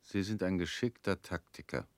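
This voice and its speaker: An actor in a radio drama playing a weary middle-aged family man, guarded and resigned, talking in flat, curt lines that often sink quiet. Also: sits low, normal speed, no echo. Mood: bitter.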